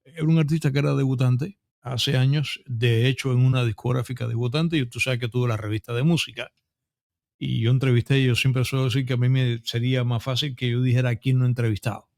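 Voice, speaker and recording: A Cuban man in his fifties with a Cuban accent, hosting a podcast interview and telling stories in a lively, chatty way full of asides. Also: medium pitch, a little fast, creaky. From Cuba. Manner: animated storyteller, full of restarts and asides. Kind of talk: casual conversational interview.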